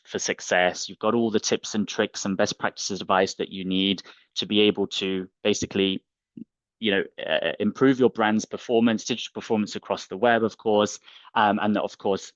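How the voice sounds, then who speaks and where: A man hosts a live software training webinar, talking in a relaxed way with plenty of fillers. His voice is low. The recording is dry and dull, with a lo-fi edge. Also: dry recording. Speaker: training team manager, webinar host.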